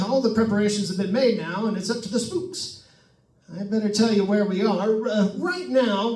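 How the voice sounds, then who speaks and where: A man's voice: sits high, a little mellow, lightly acted. A man playing a chatty, nervous radio ghost hunter in old-time radio drama style, with stammering announcer patter. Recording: roomy, distant-miked stage recording.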